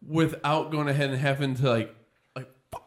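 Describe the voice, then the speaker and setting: A man co-hosting a casual comedy podcast, rambling with many pauses and filler words. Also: medium pitch, steady tone. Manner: rambling, halting, lots of fillers and trailing off. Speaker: podcast co-host.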